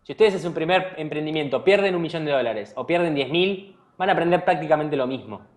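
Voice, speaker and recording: A man's voice: a little high, animated, quick pace. Argentine man giving earnest startup advice. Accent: Argentine Spanish. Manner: earnest advice-giving, frequent fillers and restarts. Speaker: startup CEO being interviewed. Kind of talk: conversational interview answers.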